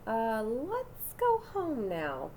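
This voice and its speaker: A woman's voice: fairly high pitch, huge pitch swings, drawn-out words, mellow, a little hiss or hum, full character voice. A woman voicing a nervous, easily awed little boy in a children's storybook, asking and exclaiming anxiously. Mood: nervous, hesitant. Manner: hesitant, anxious questioning and exclaiming. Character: nervous, awestruck little boy who fears dinosaurs.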